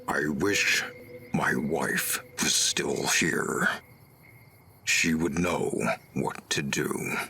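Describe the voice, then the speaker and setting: A gentle old super mutant soldier from a video game, with a very deep voice full of gravel. He speaks slowly and haltingly, carrying old sorrow, with a hard forceful edge and faint noise behind him. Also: steady tone, exaggerated voice. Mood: weary, forlorn. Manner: slow, halting, deliberate delivery. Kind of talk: video game character dialogue.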